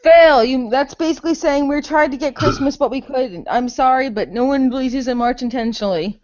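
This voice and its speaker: A woman's voice: high-pitched, brisk, a little mellow, muffled recording. A woman co-hosting a casual podcast, blunt, sarcastic and opinionated, with plenty of swearing. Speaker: podcast co-host.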